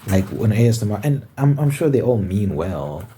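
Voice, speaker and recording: A man's voice: on the low side, big pitch swings, moderate pace, warm tone, a little hiss or hum. A man from Zimbabwe talking casually to camera in his room, rambling and correcting himself as he thinks aloud. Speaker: ASMR channel host. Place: bedroom with window.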